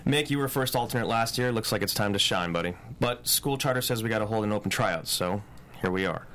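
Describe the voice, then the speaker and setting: A man's voice: fairly low pitch, brisk, a bit bright, natural voice. Guy playing troubled teen surfer boy, blunt and slangy, table read, faint noise. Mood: curt, reluctant. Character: blunt, troubled teenage boy surf team captain.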